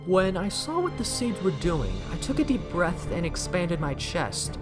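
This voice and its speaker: A man as a dry clever hero, lively intonation. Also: sits high, a little slow. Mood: self-assured. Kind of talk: motion comic voice acting. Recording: loud music behind.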